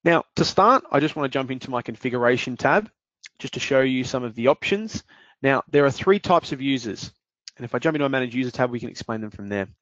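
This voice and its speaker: A man presenting and walking through a software demonstration in a steady explanatory way, with occasional fillers. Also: mid-range voice, quick pace, dry recording. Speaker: product presenter. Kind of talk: software demo walkthrough. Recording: dull dry lo-fi audio.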